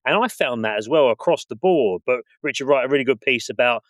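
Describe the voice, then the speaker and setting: A man's voice: mid-range voice, animated, a little fast, no echo. A man co-hosting a podcast, upbeat and friendly, chatting and reading out news items. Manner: friendly, upbeat, says mate often. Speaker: podcast co-host. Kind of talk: casual chat, reading news.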